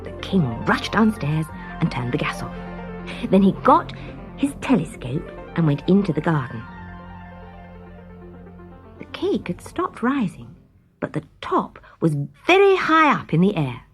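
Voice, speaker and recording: A woman narrating a scripted children's story as an expressive storyteller. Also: lowish voice, measured pace, pauses often, soft background music. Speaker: story reader.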